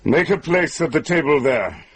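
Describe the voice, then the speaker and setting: An actor playing a fair-minded Welsh lord sitting in judgment in a radio drama, stately, questioning and authoritative. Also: male voice, a little high, animated, moderate pace, slightly rough, no echo, poor audio. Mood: satisfied, authoritative.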